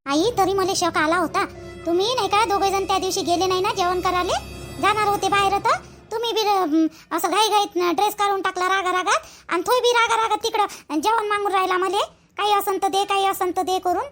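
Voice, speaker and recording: A woman's voice: extremely high pitch, steady tone, fairly quick, a bit bright, faint music behind. A woman voicing a young, curious sister-in-law in a village family cartoon, with a Vidarbha Marathi accent. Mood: confiding. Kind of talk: rural family cartoon dialogue.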